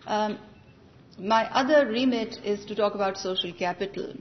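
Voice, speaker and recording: A woman speaks at a podium, measured and articulate in a formal policy address. Her voice sits at a middling pitch. It was recorded from the audience in a large conference hall, so it sounds slightly dull with a faint low rumble behind it. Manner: measured, articulate, formal delivery with occasional restarts. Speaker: conference panelist. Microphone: podium microphone, recorded from the audience.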